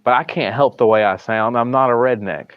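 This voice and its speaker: A man's voice: brisk, no echo. Rambling man with a thick Southern accent. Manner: rambling, restarts often, trails off into low mumbles. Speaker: livestreamer. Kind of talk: casual rambling livestream talk. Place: hospital room. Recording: dry, slightly dull livestream audio.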